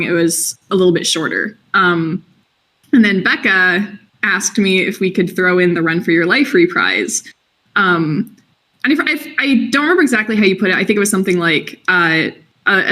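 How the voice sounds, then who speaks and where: A woman talking casually on a livestream about writing a song, explaining quickly. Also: on the low side, fairly bright tone. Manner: quick, explanatory, hums a few sung lines. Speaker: songwriter of a fan musical.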